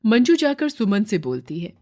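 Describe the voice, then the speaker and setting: A woman's voice: mid-range voice, big pitch swings, moderate pace, mellow. A woman narrator reading a scripted moral story.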